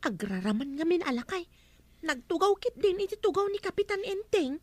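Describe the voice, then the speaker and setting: A woman with an Ilocano accent voicing a squeaky young village hall helper who tattles, in a comedy radio drama. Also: sing-song, brisk. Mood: tattling.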